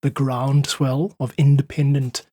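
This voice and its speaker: A man with a slightly rough, husky voice at an ordinary male pitch. He explains things articulately and thoughtfully, as a guest in an interview. The sound is dry and close, with little room to it. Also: little pitch movement, unhurried, warm tone, dry recording. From South Africa. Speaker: podcast guest, independent musician.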